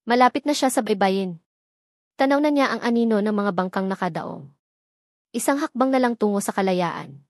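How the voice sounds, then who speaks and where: A woman narrating a dramatic love story with an even, dramatic storytelling delivery. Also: mid-range voice, normal speed, pauses often, dry recording, studio quality.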